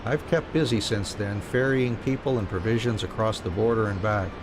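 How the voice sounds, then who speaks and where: A man's voice: fairly low pitch, normal speed. A man streaming a game, reading story dialogue aloud and chatting casually. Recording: steady noise behind the voice.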